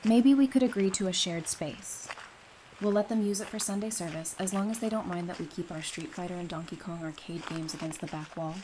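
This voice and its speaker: An actress playing a supportive woman doctor in an audio drama, speaking informally with gentle teasing and reassurance. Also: lowish voice, brisk, background noise, close to an everyday voice.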